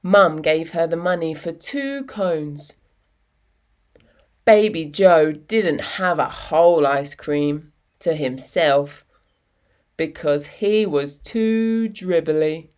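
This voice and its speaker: Very slow-paced woman reading a children's story. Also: low-pitched. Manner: expressive, deliberate storytelling with dramatic pauses. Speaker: storytime reader. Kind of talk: children's picture book read-aloud.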